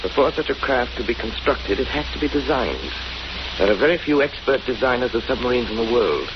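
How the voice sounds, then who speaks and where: An actor playing a grave, assured gentleman detective in a 1930s radio drama. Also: medium pitch, lively intonation, fairly quick, close to an everyday voice. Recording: muffled, hissy 1930s radio recording.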